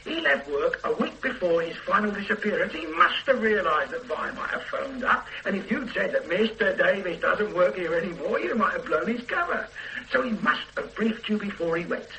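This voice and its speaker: A blunt police inspector in a vintage radio drama who grinds away at a reluctant witness. His voice is bright and lively, moving a lot in pitch. There is a faint hiss behind him. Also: moderate pace, full character voice, low-quality recording. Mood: pressing. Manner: pressing, sardonic, threatening when stonewalled. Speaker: police detective questioning a witness. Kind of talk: 1970s-80s radio drama acting.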